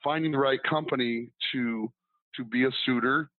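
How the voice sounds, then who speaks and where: A man's voice: mid-range voice, fairly even intonation, normal speed, no echo. A man who is a guest on a business podcast, rambling casually with lots of ums and restarts. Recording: dry tinny phone-like line.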